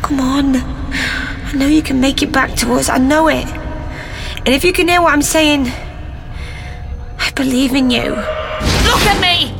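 A woman's voice: high-pitched, slow, creaky, pauses often, exaggerated voice. An actress playing a brash, mouthy young woman, a time-travelling companion, blunt and emotional in a sci-fi audio drama. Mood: desperate. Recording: loud music under the dialogue.

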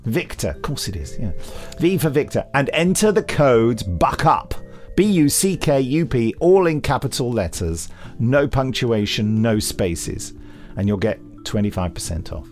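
A man's voice: mid-range voice, big pitch swings, normal speed, few pauses, darkish tone, soft background music. A man chatting casually as a podcast host. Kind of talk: casual chat.

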